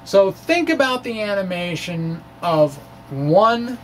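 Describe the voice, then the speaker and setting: Halting, high-pitched man, slow theatrical delivery. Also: very animated, a little hiss or hum, rough recording. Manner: halting, theatrical, self-deprecating. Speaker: physics blogger presenting his own talk. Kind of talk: dramatic reading of a blog with off-script asides.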